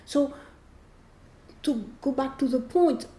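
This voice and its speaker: A woman in her sixties with a French accent talks slowly and reflectively as an author in conversation, restarting phrases as she searches for the right English word. Her voice is warm and mellow, and it rings a little in an echoey room. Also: sits high. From France. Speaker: author being interviewed.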